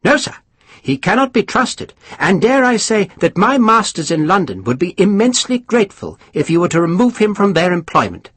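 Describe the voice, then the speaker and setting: A man's voice: sits high, big pitch swings, normal speed, a bit bright, slightly rough, dry recording, voice pushed up, heavily acted. A man voicing a foppish, sly English lord who speaks with exaggerated civility and relishes gossip. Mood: gleeful. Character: foppish high-voiced English lordship, sly and amused.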